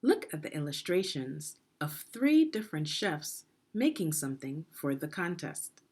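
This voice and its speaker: A woman reading a children's story aloud in a deliberate, expressive teaching manner, putting questions to the listener. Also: low-pitched, breathy edge. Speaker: education consultant reading a children's book aloud.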